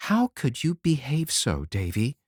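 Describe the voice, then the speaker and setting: A reader voicing a gentle, earnest young schoolteacher woman who speaks softly, in an audiobook. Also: mid-range voice, wildly swooping pitch, measured pace, breathy edge, dry recording, studio quality, pitched above the performer's own voice, exaggerated voice. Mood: sorrowful. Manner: soft, sorrowful, earnest. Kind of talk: audiobook character voice.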